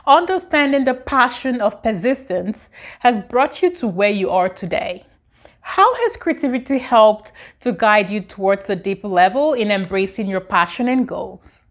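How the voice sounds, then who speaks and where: A woman's voice: mid-range voice, expressive, moderate pace, a bit bright. A woman co-host reading a scripted, formal guest introduction and questions. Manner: read-out, formal.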